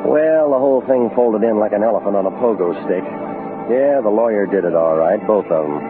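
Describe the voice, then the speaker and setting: Man playing a low-voiced wisecracking 1940s radio detective. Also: lively intonation, fairly quick, lightly acted. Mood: wry narrating. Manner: clipped, slangy, sardonic. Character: wisecracking hard-boiled private detective, brash, world-weary man. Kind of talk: 1940s radio detective drama acting and narration. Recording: muffled 1940s radio broadcast with music.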